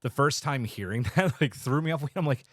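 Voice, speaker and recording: A man's voice: mid-range voice, expressive, brisk, fairly bright tone, dry recording, studio quality. A man chatting casually as a podcast panelist. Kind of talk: casual chat.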